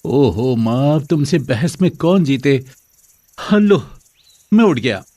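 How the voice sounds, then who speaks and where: A man playing a poor, carefree fifteen-year-old boy who talks to his mother in a whiny, colloquial way in an animated moral story. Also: mid-range voice, takes it slowly, no echo, heavily acted. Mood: resigned.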